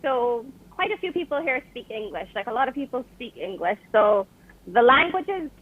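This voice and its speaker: A Barbadian woman, an author, chatting in a call-in interview, relaxed and quick to laugh. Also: on the high side, husky. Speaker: guest author. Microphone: telephone. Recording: phone line.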